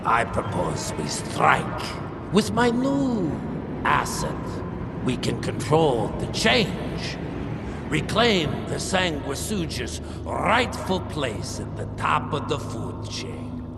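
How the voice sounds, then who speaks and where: A man's voice: on the high side, wildly swooping pitch, slow, vocal fry, exaggerated voice. An actor playing an ambitious male vampire lord who speaks in grand, persuasive oratory in a game cutscene. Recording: echoey hall over loud music.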